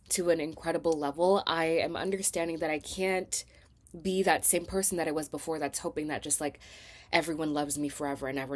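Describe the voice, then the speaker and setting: Young woman, low pitch, mildly congested, candid self-help vlogger, conversational, emphatic, casual confessional delivery. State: slightly congested from a cold. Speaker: vlog host and talk show producer.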